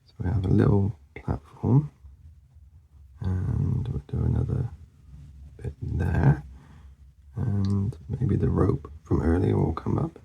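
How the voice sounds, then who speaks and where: A man talking casually as he works through a drawing, mumbling and rambling in a soft voice that often drops to a whisper, and he is losing his voice. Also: sits low, slow, vocal fry, breathy edge, highs cut off. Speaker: artist demonstrating a drawing.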